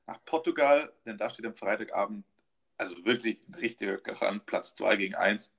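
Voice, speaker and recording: A young man co-hosting a casual football podcast, his voice sitting a little low. He runs through match statistics in an upbeat way, with lots of filler words and small restarts. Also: brisk, vocal fry, a bit bright, slightly rough. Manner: stat-heavy, upbeat, filler-laden delivery. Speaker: podcast co-host.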